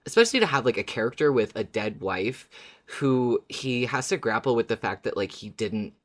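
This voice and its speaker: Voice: mid-range voice, lively intonation, normal speed. A podcast host chatting casually and enthusiastically in discussion with a co-host.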